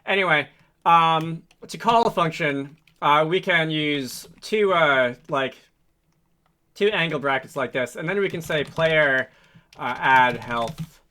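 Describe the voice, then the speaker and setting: Bright-voiced man thinking aloud through code. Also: a little high. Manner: thinking aloud, halting and hesitant. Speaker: programming tutorial host. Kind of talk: casual screen-recorded coding walkthrough.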